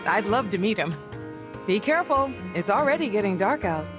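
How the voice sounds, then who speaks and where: A woman's voice: mid-range voice, big pitch swings, fairly quick, over music, natural voice. A woman playing an easygoing, absent-minded middle-aged queen and mother in a video game, musing as she talks.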